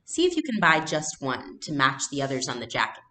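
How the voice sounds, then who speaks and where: A woman voicing a gentle, good-humoured mother of young girls from a storybook, practical and motherly. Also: extremely low pitch, huge pitch swings, brisk, heavily acted. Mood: practical, concerned.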